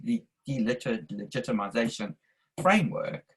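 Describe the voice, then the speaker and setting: An older man with a South African accent, making an earnest, measured statement on a video call. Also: medium pitch, expressive, a bit bright, slightly rough. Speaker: audience member asking a question. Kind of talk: online discussion statement.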